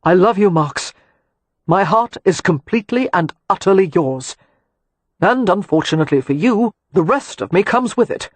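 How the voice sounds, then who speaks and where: A woman voicing an earnest young gentleman. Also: on the low side, expressive, normal speed, no room sound. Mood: pleading. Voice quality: firm, raised voice, clipped. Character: young gentleman, glib, then earnestly in love.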